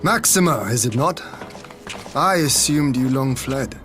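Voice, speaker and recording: An actor playing a grave, noble warrior lord in a video game, with solemn, deliberate, archaic phrasing. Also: male voice, mid-range voice, lively intonation, lightly acted. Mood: startled. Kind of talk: video game cutscene acting. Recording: loud game music behind.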